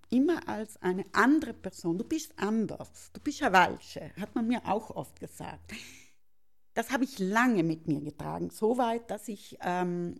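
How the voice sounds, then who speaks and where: She is a middle-aged woman with a South Tyrolean accent, reflecting on personal matters in an interview. Her voice is mellow, with plenty of creak. She feels her way through sentences, often correcting herself as she goes. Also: mid-range voice. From South Tyrol, Italy. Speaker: interview guest.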